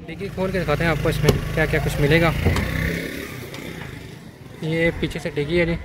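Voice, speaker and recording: A man's voice: a little high, steady tone. Young man giving a car lot walkaround. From Pakistan-administered Kashmir. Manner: brisk salesman patter, addresses viewers directly. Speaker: used car dealer vlogger. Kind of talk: walkaround vehicle review. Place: outdoors at a used car lot and in a van. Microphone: handheld phone camera at arm's length. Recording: noisy outdoor phone recording.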